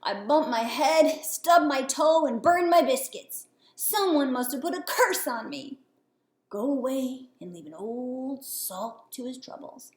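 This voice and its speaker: A crotchety old sailor from a storybook, grumbling and groaning loudly, as a woman puts him on. The sound is roomy, with echo. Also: high voice, expressive, unhurried. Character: grumpy old male sea captain.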